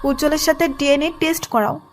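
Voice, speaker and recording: Woman acting a pushy high-voiced lady boss. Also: steady tone, a little fast, natural voice. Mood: mocking, insistent. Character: pushy, jealous female boss in love with her employee. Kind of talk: dramatised audio love story. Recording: music under the voice.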